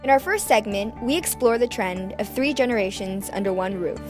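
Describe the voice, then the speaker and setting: A woman's voice: medium pitch, a little fast, music in the background. A teenage girl co-anchoring a student news show, reading out the segment rundown. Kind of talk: scripted news presenting.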